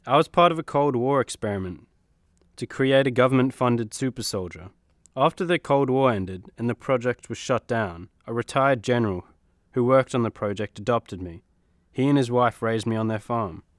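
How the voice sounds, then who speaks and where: A man's voice: mid-range voice, moderate pace, dry recording, studio quality, lightly acted. A man playing an earnest, slightly hesitant superhero team leader in a comedy radio play. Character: earnest, awkward male superhero, mild-mannered team leader.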